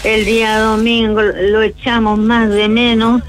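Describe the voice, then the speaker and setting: A woman's voice: fairly high pitch, little pitch movement, a little slow, background noise. A woman with a Chilean accent calling into a radio show by phone, chatting cheerfully and with devotion, sending greetings. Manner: cheerful, chatty, devout, giggly. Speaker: radio caller. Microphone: phone line.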